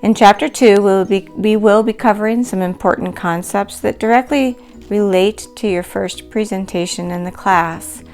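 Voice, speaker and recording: A middle-aged woman teaching a recorded lecture, explaining concepts in a clear, measured way. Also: medium pitch, darkish tone, soft background music. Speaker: instructor.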